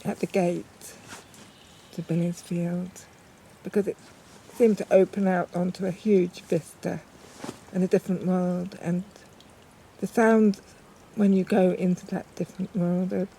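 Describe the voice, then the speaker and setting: Woman, very slow pace, gravelly fry, flat intonation, thoughtful, long pauses, reflective documentary talk. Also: on the low side, slight background noise, low-quality recording. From northern England. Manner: halting, pausing often, thoughtful. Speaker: poet. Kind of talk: reflective documentary narration, unscripted.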